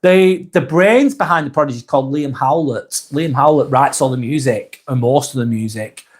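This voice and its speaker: A man from Lancashire with a Lancashire accent, hosting a casual live stream and reading viewer comments aloud in a soft, hesitant way. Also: medium pitch, moderate pace. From Lancashire, England.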